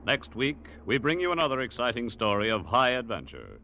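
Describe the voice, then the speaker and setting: A man's voice: medium pitch, big pitch swings, a little fast, pushed and bright, a little hiss or hum. A man announcing on 1940s radio in a formal, polished manner. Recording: muffled vintage radio broadcast.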